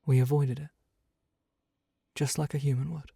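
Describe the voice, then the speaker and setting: A man voicing a gravelly ancient bed monster. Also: on the high side, level tone, dark tone. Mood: brooding. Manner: slow, brooding, intimate monologue to the listener. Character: ancient immortal male monster under the bed, weary and menacing. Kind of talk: ASMR horror roleplay.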